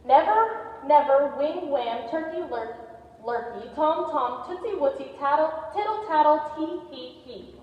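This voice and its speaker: A jittery, excitable school staffer played by a woman exclaims her stage lines. The voice is high and very lively, dulled by distance in a reverberant hall. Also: a little slow. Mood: excited, playful. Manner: animated, exclamatory. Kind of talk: readers theater performance. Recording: muffled, distant, echoey hall.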